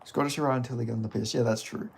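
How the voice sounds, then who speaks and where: A man's voice: medium pitch, fairly even intonation, very fast, gravelly, slightly rough. A man chatting casually on a livestream, sweary and self-deprecating about his own skills. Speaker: streamer doing impressions.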